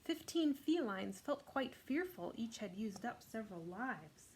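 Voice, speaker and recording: A woman's voice: a little high, unhurried, slight background noise. A woman hosting a children's storytime, reading a picture book expressively with casual asides. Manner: expressive, voices the characters' lines.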